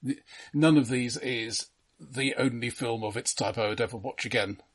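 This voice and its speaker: A man with a somewhat husky, unpolished voice at an ordinary pitch chats about film as a co-host. He explains in a halting, faintly pedantic manner, careful over small factual points. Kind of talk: casual informative film discussion.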